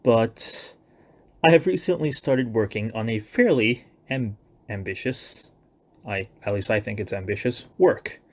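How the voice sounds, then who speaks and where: A man's voice: fairly low pitch, a little slow. A young man giving a casual, loosely planned announcement of his own writing projects, with self-deprecating, hedging asides. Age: young adult.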